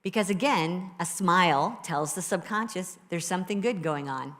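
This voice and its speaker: A woman minister with a low voice, preaching a sermon and leading a guided meditation in a conversational, encouraging way to a live congregation. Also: animated, normal speed, fairly bright tone. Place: church sanctuary with a live congregation. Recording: live church recording with long reverb.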